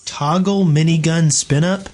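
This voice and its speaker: A man's voice: on the high side, steady tone, measured pace, a little mellow. A man co-hosting a podcast, chatting casually and giving his opinions bluntly. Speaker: podcast co-host. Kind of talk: casual chat.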